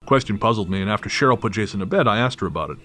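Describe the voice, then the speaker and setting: Low-pitched man narrating a horror story. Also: animated, a bit bright. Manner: measured, literary storytelling. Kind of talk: scripted first-person horror story narration.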